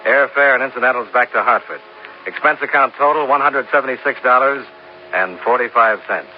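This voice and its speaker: An actor playing a hard-boiled insurance investigator who narrates a 1950s radio drama in a dry, clipped way. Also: male voice, medium pitch, brisk, close to an everyday voice. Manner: dry, clipped, matter-of-fact. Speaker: narrator and lead. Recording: hissy, dull old radio broadcast.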